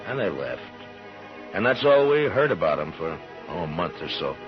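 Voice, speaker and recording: Western lawman: deep, laconic, steady, American, stern authority, vintage radio sound with music. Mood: reflective. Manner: measured, dry, authoritative. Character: steady, stern, laconic male frontier marshal. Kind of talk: old-time radio western acting and narration. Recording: muffled old radio recording, music.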